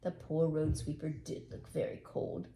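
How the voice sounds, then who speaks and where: An older woman reads as a storyteller with expressive storybook narration. Her voice is warm, mellow and slightly low, recorded in a roomy, echoey space. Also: a little slow, gravelly, a little hiss or hum.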